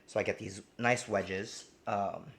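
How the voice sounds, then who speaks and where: Chill guy from jersey cooking on stream, lowish voice, lots of ums. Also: fairly even intonation, slow, creaky, fairly bright tone, slight background noise. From New Jersey, US. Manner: easygoing, rambling with many ums and restarts. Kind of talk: casual live cooking demo, reading chat.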